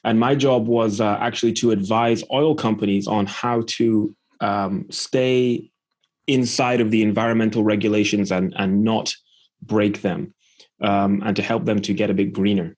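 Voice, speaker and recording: This is a man from Scotland with a fairly low voice, speaking as a guest on a podcast. He explains things conversationally and in a plain-spoken manner. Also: fairly even intonation, normal speed, a little mellow. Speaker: podcast guest, e-commerce entrepreneur. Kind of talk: conversational interview storytelling.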